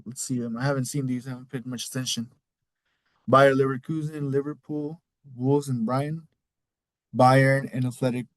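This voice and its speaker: Man, Mexican accent, casual rambling recap, swears freely, middle pitch, dry close sound. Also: steady tone, normal speed, no echo. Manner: rambling, loose, cusses casually. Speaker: league podcast host. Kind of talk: casual solo recap talk.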